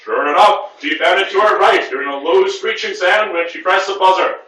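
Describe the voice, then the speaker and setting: High bright male reader in an echoey room. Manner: halting, self-correcting, exasperated asides. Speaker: reader at a writers' group reading. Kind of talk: reading prose aloud with casual asides. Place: echoey room with a group present. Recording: echoey room, distant from the mic.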